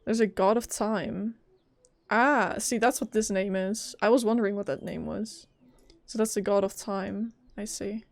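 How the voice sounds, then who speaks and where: A woman game streamer giving informal, expressive reaction commentary in a casual chatting style. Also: medium pitch, measured pace, creaky, no echo. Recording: faint game music behind. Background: faint game music.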